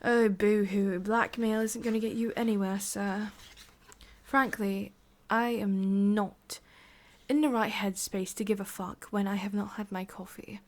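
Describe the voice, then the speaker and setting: A woman's voice: mid-range voice, steady tone, a little slow, a little mellow, natural voice. A woman with an English accent playing a sadistic, condescending boss in an ASMR roleplay, whispery and menacing. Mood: scornful, irritated. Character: sadistic, domineering female boss scolding an employee.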